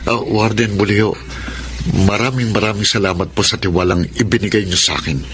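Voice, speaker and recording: A man's voice: fairly low pitch, fairly even intonation, a little fast, a bit bright, slight background noise, lightly acted. A man playing an earnest prisoner who takes photographs, in a Filipino radio drama. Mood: grateful, earnest.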